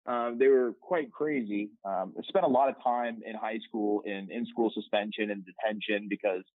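A man's voice: fairly low pitch, moderate pace, no room sound. A young man telling his story as a podcast guest, candid and rambling with plenty of fillers. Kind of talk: casual interview storytelling. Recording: tinny phone-line sound, dry.

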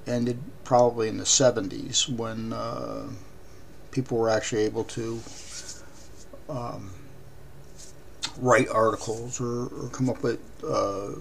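Raspy, gravelly man hosting. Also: medium pitch, level tone, a little hiss or hum. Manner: halting, many ums and uhs. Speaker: podcast host. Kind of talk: casual podcast commentary.

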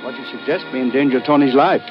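An actor playing an effusive, protective middle-aged Portuguese uncle in an old radio drama, with formal, foreign phrasing. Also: male voice, medium pitch, fast talker, over music, natural voice. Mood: worried, reluctant. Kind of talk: 1950s radio drama acting. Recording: muffled old radio recording.

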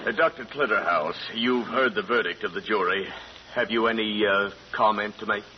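Male judge, played by an actor: measured, patient, courteous, vintage radio drama style, dull recording with light hiss. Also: fairly low pitch, natural voice. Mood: resigned. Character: formal, patient male judge questioning a defendant. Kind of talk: 1940s radio drama acting. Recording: muffled, hissy 1940s radio broadcast.

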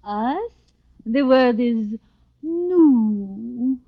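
A woman's voice: fairly high pitch, lively intonation, drawn-out words, heavily acted. An actress playing an alluring, composed young Frenchwoman with a French accent, in 1940s radio comedy style. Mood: coy, composed. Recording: dull, faintly noisy vintage radio recording.

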